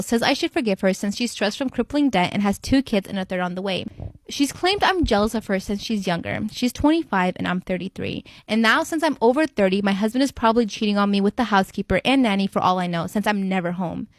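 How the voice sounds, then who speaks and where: A woman narrating first-person storytime posts in a brisk, even reading voice. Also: mid-range voice, no echo.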